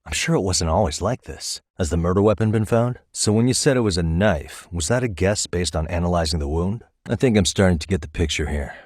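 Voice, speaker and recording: An actor playing a composed, probing private investigator in a video game, speaking in a measured, casually wry way. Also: male voice, low voice, expressive, darkish tone, no echo, studio quality. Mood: dry, probing. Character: composed, probing male private investigator, adult, dryly confident. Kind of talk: naturalistic game dialogue acting.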